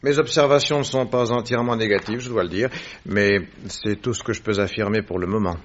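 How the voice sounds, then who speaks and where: An actor playing a self-assured, analytical gentleman detective with dry wit in a French radio drama, speaking deliberately and formally. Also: on the low side, steady tone, dull audio, close to an everyday voice. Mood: brisk, observant. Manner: deliberate, precise, formal phrasing.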